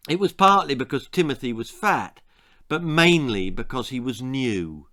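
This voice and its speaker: A British man narrates a children's story at a medium pitch. He reads as its author would, dramatically, setting off key phrases with pointed pauses. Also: fairly bright tone. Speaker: author reading his own story.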